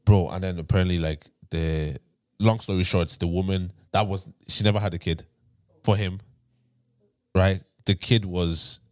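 A man's voice: low voice, fairly even intonation, moderate pace, no echo, studio quality. A man casually telling a story on a podcast, rambling with fillers and restarts. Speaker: podcast host. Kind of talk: casual chat.

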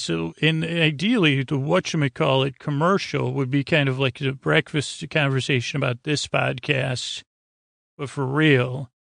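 A man with a creaky voice hosting a bedtime podcast, meandering through whimsical tangents in halting, repetitive sentences that trail off mid-thought. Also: fairly high pitch, fairly bright tone, dry recording, clean studio sound. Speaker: podcast host. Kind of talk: rambling bedtime podcast monologue.

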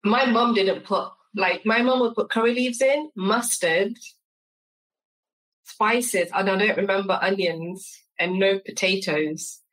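A woman's voice: medium pitch, takes it slowly, fairly bright tone, poor audio. A woman hosting a food podcast, chatty and friendly, asking questions with short eager agreements. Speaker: podcast host. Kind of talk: casual interview.

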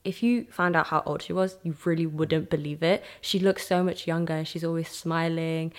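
A woman's voice: low-pitched, fairly even intonation, normal speed. Young chatty woman from Ethiopia. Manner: chatty, frequent "like" fillers, warm recollection. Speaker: podcast host being interviewed. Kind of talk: casual conversational storytelling.

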